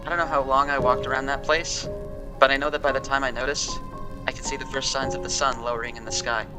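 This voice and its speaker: An actor playing a shaken, wary man who recounts a frightening experience in an audio drama, telling it with dramatic pauses. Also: mid-range voice, flat delivery, music in the background, close to an everyday voice. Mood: uneasy, haunted. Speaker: interviewee. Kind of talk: audio drama monologue, interview testimony. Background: musical score.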